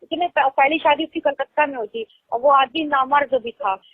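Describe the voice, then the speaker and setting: A woman's voice: high voice, normal speed, dry recording. A young woman with a Lucknow Hindi accent, chatting casually on a phone call and explaining things at length. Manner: explains at length, laughs easily. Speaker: phone caller. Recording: tinny phone call recording.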